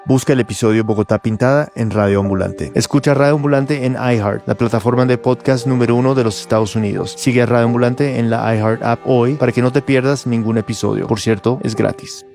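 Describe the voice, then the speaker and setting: A man's voice: medium pitch, steady tone, fairly quick, gravelly, few pauses, a little mellow, faint music behind. A man narrating a serious podcast promo. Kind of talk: scripted narration.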